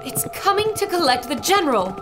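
Woman as a high-voiced earnest radio reporter. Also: sing-song, a little fast, loud music behind the voice. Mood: awed. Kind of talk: radio adventure drama narration.

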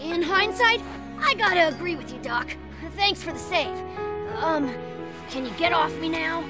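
A woman's voice: extremely high pitch, lively intonation, a little slow, over music. A woman voicing an eager, plucky little boy fox sidekick in a video game, earnest and perky. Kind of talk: animated video game cutscene acting.

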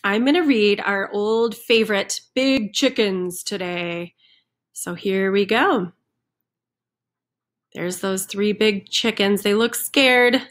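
A woman's voice: mid-range voice, takes it slowly. A woman reading a picture book aloud to young children, expressively leaning on the rhyming sound words. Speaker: children's center storyteller. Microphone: computer microphone on a screen recording with webcam.

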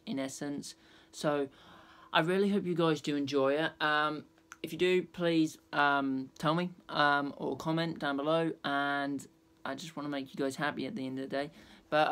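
A young man with a croaky throat, chatting hesitantly to his webcam from a small bedroom, full of ums. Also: a little high, fairly bright tone. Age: young adult. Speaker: vlogger. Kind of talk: casual chat to camera. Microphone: webcam at desk. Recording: backing music playing.

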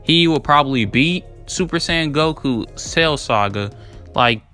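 A man's voice: fairly high pitch, lively intonation, measured pace, bright, forceful tone, faint music behind. A young man giving casual, unscripted commentary as a video creator, rambling in a slangy, profane, hyped and emphatic way. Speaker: video creator debating anime battle matchups.

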